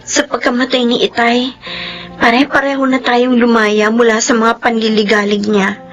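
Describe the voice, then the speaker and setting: An earnest, emotional young woman, played by an actress in a radio drama. Her voice is a little high and often close to tears. Soft music plays faintly underneath. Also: little pitch movement, moderate pace, natural voice. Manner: emotional, tearful. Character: young woman, resentful daughter, tearful. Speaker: midwife character.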